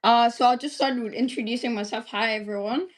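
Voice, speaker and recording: A fairly high-voiced woman in her twenties, from the UAE, speaks as a podcast guest. She tells her story earnestly and chattily, using lots of fillers along the way. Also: fairly even intonation, brisk. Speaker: guest, medical student and startup founder. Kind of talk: interview, telling her story.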